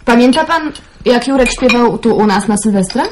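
A teasing young woman, played by an actress in a radio play. She drawls mockingly in a high voice with big swings of pitch, and the recording is noisy. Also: expressive, moderate pace, close to an everyday voice. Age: young adult. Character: teasing, melancholy young woman, orphaned only child. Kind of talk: Polish radio drama acting.

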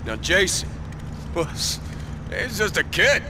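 A man's voice: high voice, sing-song, slow pace, noisy background, full character voice. An actor playing a swaggering, profane biker gang leader with an American accent, speechifying to his brothers in a video game. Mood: proud eulogy. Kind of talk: rousing eulogy speech to a gang.

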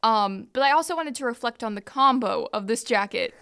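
A woman's voice: on the high side, expressive, brisk, pushed and bright, smooth. A woman chatting casually as an off-camera sidekick on a show. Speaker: off-camera co-host or crew member. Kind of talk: casual chat.

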